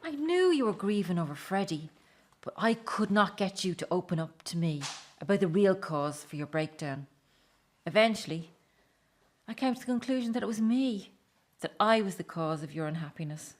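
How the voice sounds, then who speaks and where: A woman's voice: medium pitch, expressive, normal speed, close to an everyday voice. Remorseful, hurt estranged wife and mother played by an actress. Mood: pained, confessional. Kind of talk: radio drama acting.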